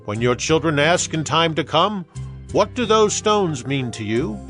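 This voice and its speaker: High-pitched, fervent commanding leader. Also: male voice, lively intonation, a little slow, a bit bright. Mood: solemn, commanding. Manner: proclamatory, addressing a crowd. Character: Joshua, commanding male leader of Israel, solemn and fervent. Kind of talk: dramatized scripture acting. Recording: dramatic music behind the voice.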